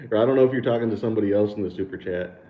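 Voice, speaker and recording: A man's voice: on the low side, roomy sound, narrow, tinny audio. An American man hosting a casual livestream, reading super chats aloud, laid-back, laughing often and stumbling over repeated words and fillers. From United States. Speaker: livestream host.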